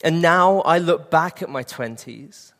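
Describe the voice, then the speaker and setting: A man's voice: a little high, expressive, measured pace, a bit bright, long reverb tail. A man in his late twenties preaching a sermon and sharing an earnest personal testimony. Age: 20s.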